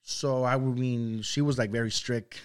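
A man's voice: mid-range voice, steady tone, moderate pace, smooth. Young man from Mexico, rambling chat. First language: Spanish. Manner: loose, rambling, full of "you know" and "like". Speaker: podcast guest. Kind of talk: casual conversational interview.

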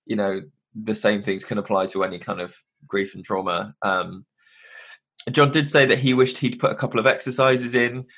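A man's voice: on the low side, steady tone, moderate pace, fairly bright tone. A man hosting a podcast, chatting casually and rambling a little. His nose is stuffed up from hay fever. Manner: chatty, rambling, self-correcting. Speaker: podcast host.

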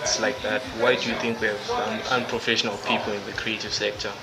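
A man's voice: lowish voice, flat delivery, fast talker, a crowd in the background. A man hosting a podcast interview, asking questions and answering with short encouraging responses. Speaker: podcast host.

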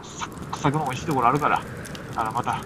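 A man's voice: medium pitch, racing, natural voice. A man with a Kansai accent playing an anxious, weary stranded climber in an amateur comic radio drama, earnest and exclamatory. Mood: wistful. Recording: noisy amateur recording.

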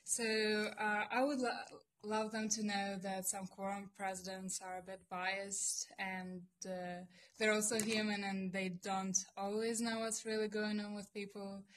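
A woman from Ukraine, speaking haltingly in class as she searches for her words. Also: medium pitch, flat delivery. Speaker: student.

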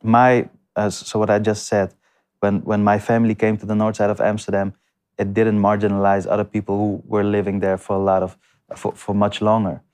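A man answering interview questions in a halting way, with many restarts and fillers. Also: on the low side, monotone, a little mellow, clean tone. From came to the Netherlands as a refugee. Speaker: guest. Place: lives in north Amsterdam.

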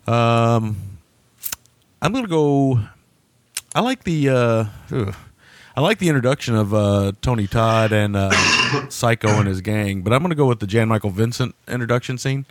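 A man's voice: medium pitch, animated, moderate pace, no echo. A man co-hosting a movie podcast in casual chat, easygoing and thinking aloud as he talks. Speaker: podcast co-host.

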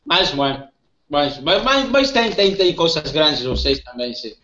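A man from Argentina with an Argentine Spanish accent, speaking non-native Portuguese haltingly in casual chat. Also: fairly high pitch, expressive, sharp tone. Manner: halting, searching for words. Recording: echoey lo-fi sound.

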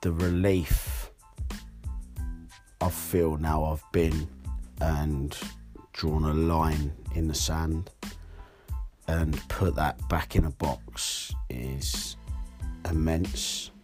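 A hoarse young man hosting his podcast alone, talking candidly and rambling with fillers. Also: rumbling low, fairly even intonation, very slow, long pauses, darkish tone, faint music behind. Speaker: podcast host. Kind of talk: solo podcast monologue.

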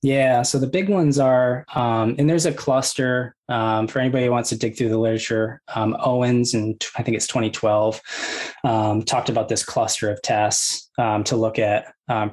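A man in his thirties, a physical therapist, calmly explaining his clinical approach with many ums and you-knows. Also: fairly low pitch. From United States. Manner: measured, filler-heavy, explains step by step.

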